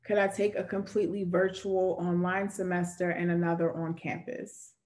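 A woman's voice: fairly low pitch, little pitch movement, moderate pace, darkish tone. A young woman moderating an online Q&A over a video call from a room at home. She explains admissions steps and relays questions from the chat. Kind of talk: informational webinar Q&A. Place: home room with framed pictures.

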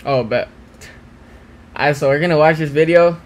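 A man's voice: fairly high pitch, moderate pace, slight background noise. A young man streaming from a small room, chatting casually with his viewers while reacting to a video. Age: young adult. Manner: chatty, talks to viewers, trails off and restarts. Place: small cluttered room with green screen.

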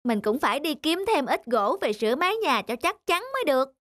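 A woman's voice: sits high, sing-song, fairly quick. A woman voicing a big, kind-hearted, helpful bear with an earnest manner in a children's cartoon. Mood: friendly, busy.

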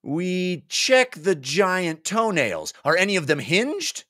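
A man voicing excitable nerdy male tabletop gamers, exclaiming and mock-heroic in a comic dramatic reading. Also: sits high, slow pace, no room sound, studio quality, pitched above the performer's own voice, full character voice. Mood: eager, bumbling.

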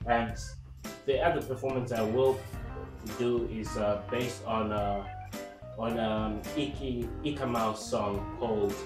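A man in his thirties from Zimbabwe, a performance artist who speaks to the camera about his work in a calm, reflective way, with many fillers and restarts. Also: medium pitch, slow. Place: indoor room with paintings on the wall. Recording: roomy, somewhat distant sound with faint music.

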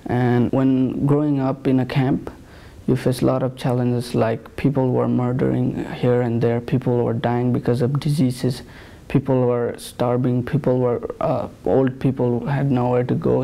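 Gravelly, flat-voiced young man from Nepal. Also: mid-range voice, rough recording. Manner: measured, with frequent uh pauses. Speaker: interviewee. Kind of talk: personal account. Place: small plain room indoors. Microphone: lapel mic.

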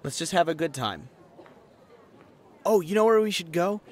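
A man's voice: fairly high pitch, animated, normal speed. A man playing a brash, chatty young adult friend in a conversational roleplay audio drama, talking bluntly and casually.

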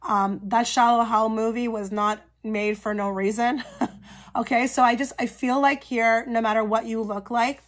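Female tarot reader, higher register, a touch hoarse and cracky; casual, talks straight to camera with frequent check-ins. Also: steady tone, measured pace. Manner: chatty, frequent "okay?" check-ins with viewers. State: slightly hoarse from a cold. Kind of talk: pick-a-card tarot reading to camera.

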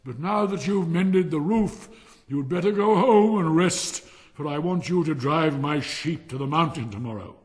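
A man's voice: fairly high pitch, darkish tone, voice pushed up, natural voice. In a reverberant hall, a man performs a pompous storybook miller. He is hearty and bossy, a self-satisfied false friend who leans on his words and draws them out as he preaches. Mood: loud, condescending. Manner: emphatic, preachy, drawn-out delivery. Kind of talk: storybook character voice. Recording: long reverberant hall sound.

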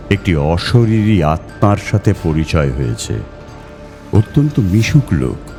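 A man's voice: sits low, warm tone, full character voice. A man voicing a tall-tale teller's letter in a story reading, declamatory and persuasive. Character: tall-tale-telling man writing a challenging, inviting letter. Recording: long reverb over music.

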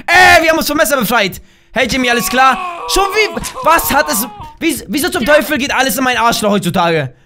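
A hoarse young man in his twenties, loudly and playfully commentating a game from a small room at home, talking into a desk mic while wearing a headset. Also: extremely high pitch, lively intonation, fairly quick, background noise. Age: young adult. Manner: loud, shouty, laughing, playful exclamations. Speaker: gaming YouTuber. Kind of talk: excited gameplay commentary.

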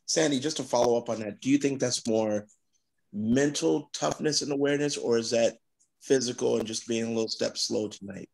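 A man's voice: medium pitch, normal speed, creaky, a little mellow, poor audio. A man reporter at a press conference asking direct follow-up questions. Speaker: sports reporter.

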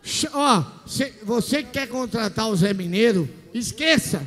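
A man's voice: very high-pitched, lively intonation, measured pace, a bit bright. A middle-aged Brazilian man hosting a TV variety show, teasing and boisterous in his interview banter, repeating himself. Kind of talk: playful on-stage interview banter. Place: large indoor TV studio stage. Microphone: handheld microphone. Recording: echoey TV studio hall, music.

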